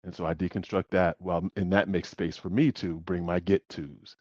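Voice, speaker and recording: Deep-voiced man in reflective chat. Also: fairly even intonation, normal speed, darkish tone, no echo, lo-fi sound. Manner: thoughtful, laughs often, many restarts. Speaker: facilitator. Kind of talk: reflective support group talk.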